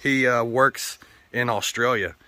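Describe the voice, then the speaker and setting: Bright-voiced, foul-mouthed man vlogging casually. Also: medium pitch, steady tone, a little slow. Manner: profane, slangy, blunt. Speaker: vlogger hosting a channel. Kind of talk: casual rambling vlog talk to viewers.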